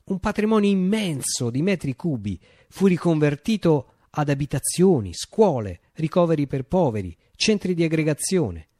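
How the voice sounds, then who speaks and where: An actor playing a visionary revolutionary who recounts his utopia in a declamatory radio theatre monologue, with deliberate pauses and emphasis. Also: fairly high pitch, big pitch swings, mellow, no echo. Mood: declamatory, earnest.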